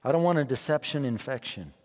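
A man preaching a sermon as pastor, emphatic, repeating his phrases and swinging between quiet and loud. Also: medium pitch, animated, quick pace, long reverb tail. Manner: emphatic, repeats phrases, rises and drops in loudness.

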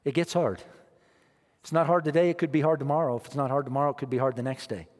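A man preaching a sermon as pastor, emphatic, repeating his phrases and swinging between quiet and loud. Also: mid-range voice, fairly even intonation, fast, in a large hall. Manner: emphatic, repeats phrases, rises and drops in loudness.

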